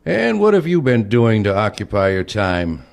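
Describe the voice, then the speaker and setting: A man acting as a sly, crusty elderly grandfather in an audio play, speaking in a deliberate, halting way. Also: lowish voice, huge pitch swings, gravelly, full character voice. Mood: stern, fond. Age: older.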